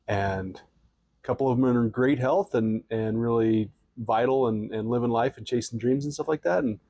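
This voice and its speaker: A man's voice: mid-range voice, normal speed, warm tone, dry recording. A man in his late thirties co-hosting a podcast, joking and teasing in casual chat, laughing often. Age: 30s. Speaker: podcast co-host.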